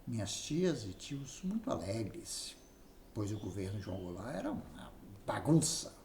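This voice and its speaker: Brazilian male narrator, lively intonation, memoir reading, roomy echo, faint noise. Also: fairly low pitch, a little slow. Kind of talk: expressive read-aloud memoir narration.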